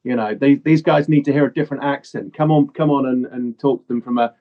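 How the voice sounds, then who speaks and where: A man's voice: medium pitch, fairly even intonation, fast talker. A man co-hosting a podcast, chatting in a rambling way to his guest. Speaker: podcast co-host. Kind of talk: casual interview chat. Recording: dull lo-fi sound.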